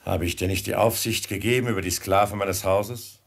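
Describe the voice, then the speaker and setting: A calm prince in an old radio play. His voice is deep, and he speaks in a measured, authoritative way as master of the house. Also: gravelly. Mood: stern questioning. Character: calm, commanding male Persian prince and master. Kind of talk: 1950s German radio drama acting.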